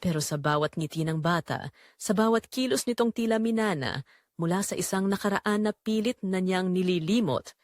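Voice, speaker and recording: A woman narrating a romantic drama in a measured, sentimental storytelling manner. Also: on the low side, darkish tone, dry recording, studio quality.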